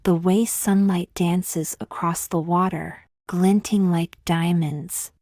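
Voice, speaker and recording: A woman leading a guided meditation in a calm, gently measured, scripted style with long pauses between phrases. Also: fairly low pitch, dry recording, studio quality.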